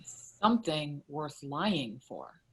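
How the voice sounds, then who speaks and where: A woman's voice: deep voice, sing-song, takes it slowly, a bit bright, exaggerated voice, low-quality recording. A woman playing a dry, sardonic friend in her twenties in a screenplay table read. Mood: dry, doubtful.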